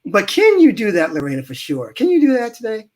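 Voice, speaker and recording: A man's voice: sits high, wildly swooping pitch, fairly quick, darkish tone. A man hosting a live interview show, playful and joking, laughing often between questions. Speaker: podcast host.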